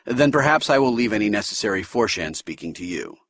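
A formal, buttoned-up senior science officer, voiced by a man reading an audiobook speaks in measured, precise phrases. The voice sits low with a husky edge and lively pitch movement, heard close and dry. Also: no room sound, exaggerated voice.

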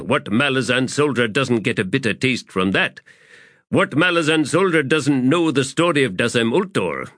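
Male narrator doing a blunt soldier, earnest, rising emphasis, bright tone. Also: mid-range voice, no echo, clean studio sound, voice pushed up, natural voice.

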